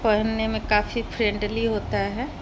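A woman's voice: a little high, little pitch movement, normal speed. A middle-aged woman, talking casually to viewers as a vlogger narrating an unboxing. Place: indoor room at home. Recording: clearly noisy home phone recording. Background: clear background noise.

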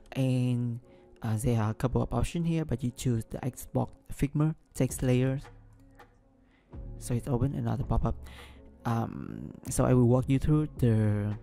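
A man hosting a screen-recorded software tutorial, talking through each step with frequent ums and restarts, English not his first language. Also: mid-range voice, creaky. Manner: hesitant, many ums and restarts. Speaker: business analyst tutorial host.

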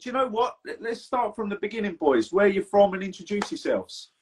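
A man's voice: high-pitched, lively intonation, brisk, breathy edge. A man hosting a casual podcast interview over a video call, chatty and laddish, swearing freely. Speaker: podcast host.

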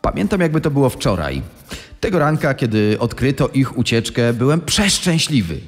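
A gangster inmate acted by a man reminisces in a dramatised letter. His mid-pitched delivery swings widely, with long reverb around it. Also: very animated, normal speed, faint music behind, lightly acted. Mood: animated reminiscing. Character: male gangster inmate reminiscing about an escape. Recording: long reverberant hall echo.

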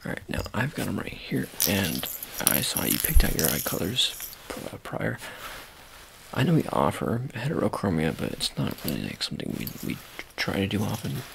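A man in his thirties doing an ASMR surgeon roleplay, talking softly and offhandedly, often slipping into whispers, close to the mic in a small dark room. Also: lowish voice, wildly swooping pitch, normal speed, vocal fry, noisy background. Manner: soft, rambling and offhand, drifting into whispers. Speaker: ASMR creator playing a surgeon. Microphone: close-up camera held near the face.

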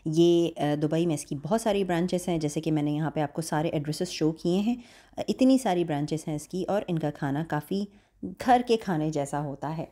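Mellow-voiced woman narrating a food vlog. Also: deep voice. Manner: calm, friendly review talk with English words mixed in. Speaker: food vlogger. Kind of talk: scripted voice-over narration.

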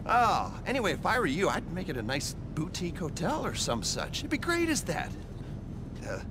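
An actor playing a nervy, evasive, foul-mouthed covert arms dealer in a video game cutscene, rambling and stammering, then abruptly bossy. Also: male voice, high-pitched. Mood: evasive, flustered. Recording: clear vehicle and ambient noise.

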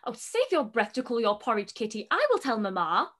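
Woman as a brash, high-voiced girl. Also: very animated, fairly quick, a bit bright. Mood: scornful. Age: young adult. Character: brash, lazy teenage youngest daughter. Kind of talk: play read-through, period comedy.

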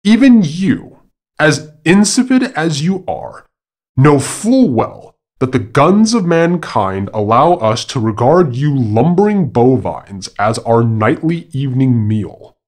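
A man voicing an arrogant, taunting monkey in a fable, with a loud, pompous, mocking manner. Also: medium pitch, big pitch swings, slow pace, pitched above the performer's own voice. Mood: scornful, mocking.

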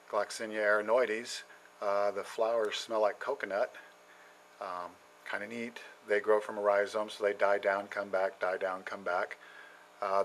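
Bright-voiced man touring his plant shelves. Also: lowish voice, slight background noise, low-quality recording. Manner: halting, many ums and uhs, frequent throat clearing. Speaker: home plant grower. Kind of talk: casual narrated plant tour. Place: indoor basement grow shelves.

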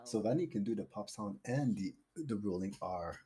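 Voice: low-pitched, normal speed, warm tone, husky. A teasing streamer chatting and joking with friends during a game. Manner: teasing, laughs a lot. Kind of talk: casual gaming chat.

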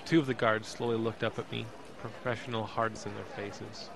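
An actor playing an anxious, emotional young man who narrates his ordeal in an audio drama, intense and halting. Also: lowish voice, little pitch movement, creaky, a crowd in the background. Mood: tense, afraid. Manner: intense, halting, repeats words.

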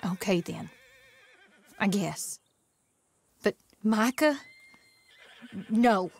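Slow-drawling, firm frontier wife. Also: female voice, medium pitch, animated, darkish tone, slight background noise. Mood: stern, worried. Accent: American. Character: sharp-tongued, loving, protective frontier wife and mother. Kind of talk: cinematic western game acting.

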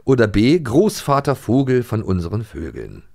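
A man's voice: medium pitch, wildly swooping pitch, moderate pace, vocal fry, mellow. A man co-hosting a podcast in casual chat, playful and jokey, often hesitating with fillers. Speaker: podcast co-host.

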